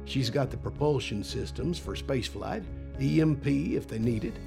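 A man's voice: medium pitch, expressive, moderate pace, creaky, music in the background. A man acting in an audio drama as a confident, enthusiastic time-travel scientist who explains things informally. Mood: easygoing.

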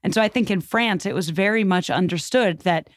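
A woman's voice: mid-range voice, a little fast, no room sound, studio quality. A woman talking through history as a podcast guest, conversational and informal, with an enthusiastic, opinionated manner.

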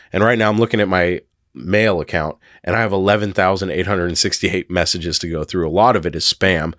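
Hoarse man, deep voice, dry close sound, loose chatty podcast host. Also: a bit bright, no room sound. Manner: rambling, chatty with many fillers. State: hoarse from a sore throat. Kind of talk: casual podcast talk.

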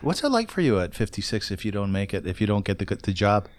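Low-voiced man, dry sound. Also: very animated, fast talker, no room sound. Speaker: podcast interviewer. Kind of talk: casual conversational interview.

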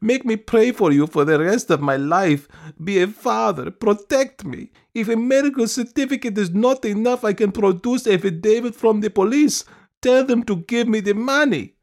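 A man's voice: high voice, moderate pace, a little mellow, voice pushed up. A man reading a story and voicing a fussy, pleading middle-aged woman who wheedles and insists. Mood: wheedling.

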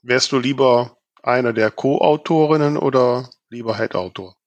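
A man's voice: medium pitch, moderate pace, no echo, highs cut off. A man hosting a podcast, asking questions in a casual conversational way. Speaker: podcast host, interviewer.

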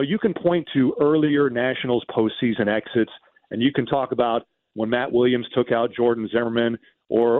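A man's voice: mid-range voice, no room sound, narrow, tinny audio. A man talking off the cuff as a sports radio commentator, recounting games in emphatic detail and often repeating a phrase for stress. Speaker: radio baseball commentator and guest.

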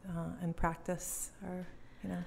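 A woman's voice: on the low side, completely flat delivery, unhurried, gravelly. A young woman from Belgium, a cellist, chatting casually in an interview and laughing easily. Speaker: cellist and musician guest.

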